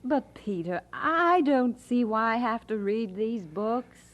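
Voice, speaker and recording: A woman's voice: on the high side, animated, slow pace, muffled recording, a little hiss or hum. An actress playing an affectionate, teasing young woman engaged to be married, in an audio drama. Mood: hesitant, questioning. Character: affectionate, teasing young bride-to-be who also narrates warmly.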